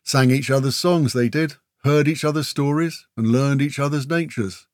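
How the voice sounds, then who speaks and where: A man's voice: mid-range voice, normal speed, dry recording, clean studio sound, pitched above the performer's own voice, close to an everyday voice. A man voicing a chatty, folksy warrior with a breezy, teasing humour in a fantasy audiobook. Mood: storytelling. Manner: folksy, matey, talkative, with tag questions. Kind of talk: fantasy audiobook character dialogue.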